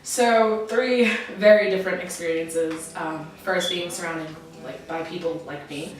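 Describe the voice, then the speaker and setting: A teenage woman from Massachusetts answering interview questions, hesitant with many pauses and ums. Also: on the low side. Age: teens. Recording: echoey room from far off, music behind.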